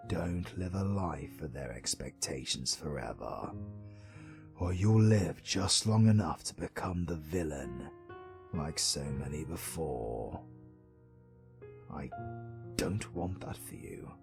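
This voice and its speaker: A man's voice: extremely low pitch, slow, gravelly, over music, heavily acted. A British man playing a brooding, sarcastic but secretly caring supervillain in a romantic comedy audio roleplay, with dramatic, teasing delivery. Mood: weary, earnest. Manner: dramatic, teasing, sweary, swinging from murmurs to outbursts.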